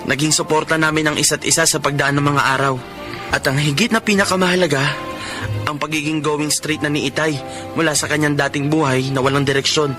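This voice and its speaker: Casting: male actor as devoted young Filipino son, fairly high voice, earnest radio-drama delivery over prominent music. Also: fairly even intonation, brisk, lightly acted. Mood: reflective.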